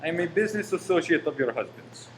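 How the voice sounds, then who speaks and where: A man's voice: a little high, animated, quick pace, natural voice. A man acting in a radio play as a polite, reassuring shop professor who is secretly a bomb-making conspirator. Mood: genial. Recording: roomy echo with faint noise.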